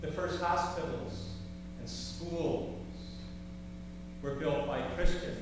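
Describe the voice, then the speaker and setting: Older male pastor, slow deliberate sermon, fairly high voice, distant echoey church hall with hum. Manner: slow, measured preaching with rising emphasis. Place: large church sanctuary, at a pulpit. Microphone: far camera in the sanctuary.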